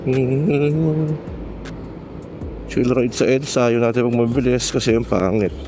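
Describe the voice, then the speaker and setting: A Filipino man chats casually as he rides, in a mid-pitched voice. He is joking and slangy and slips between his own language and English. Music plays clearly behind him, along with vehicle and street sounds. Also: steady tone, measured pace. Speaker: motovlogger. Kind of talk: casual chat while riding a motorcycle. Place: outdoors on a motorcycle in city traffic.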